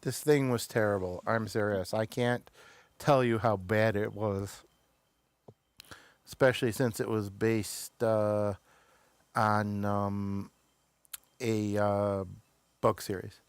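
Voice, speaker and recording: A man's voice: on the low side, fairly even intonation, slow pace, pauses often, clean tone, no echo. A man with an American accent, hosting a casual talk show in a hesitant, wandering way, often trailing off mid-sentence.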